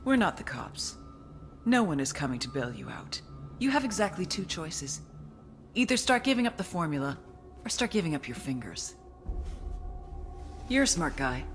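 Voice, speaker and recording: A tough female agent with a lowish voice, commanding and sardonic in a gritty game cutscene. Prominent music plays behind her words. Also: big pitch swings, normal speed, pauses often. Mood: hard, threatening. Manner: hard-edged, commanding, sardonic. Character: tough, sardonic, profane female intelligence agent interrogating cartel captives. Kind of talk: video game cutscene acting.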